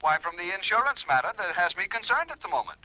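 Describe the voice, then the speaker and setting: Fussy, high-strung insurance worrywart. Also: male voice, big pitch swings, fast, sharp tone, dry recording, full character voice, low-quality recording. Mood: fussy, impatient. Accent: American. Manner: pedantic, indignant, sputtering. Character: fussy, literal-minded, excitable worrywart insurance executive, male. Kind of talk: 1950s radio drama acting.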